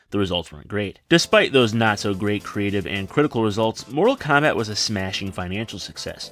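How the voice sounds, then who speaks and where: A man in his thirties delivering scripted video essay narration in a wry, sarcastic way. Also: on the low side. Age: 30s. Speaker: film review channel host. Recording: music behind the voice. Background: background music.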